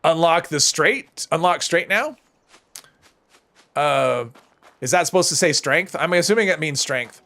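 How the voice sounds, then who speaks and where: A man's voice: a little high, lively intonation, unhurried, long pauses, sharp tone. A man streaming a video game, chatting casually and answering his viewers' questions as he plays. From United States. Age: older than high school, adult. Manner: casual, chatty, reads and answers chat while playing.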